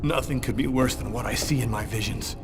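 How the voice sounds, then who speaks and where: A man's voice: medium pitch, a little fast, close to an everyday voice. An actor playing a troubled man on the run in a video game, speaking in an earnest, hesitant way. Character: troubled, haunted fugitive young man, earnest and desperate. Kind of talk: video game dramatic acting. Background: music.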